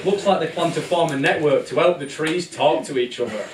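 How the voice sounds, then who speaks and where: A man's voice: fairly high pitch, a little fast, very noisy. A man narrating a live puppet show in an irreverent, informal, ad-libbing comedic storytelling style. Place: live show in a room, music behind. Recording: roomy, distant live capture with loud music.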